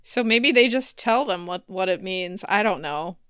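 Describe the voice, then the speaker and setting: A woman's voice: mid-range voice, expressive, moderate pace, a bit bright, smooth, dry recording, studio quality. A woman in her thirties chatting casually and telling stories with wry humor as a podcast co-host. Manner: wry, laughs often.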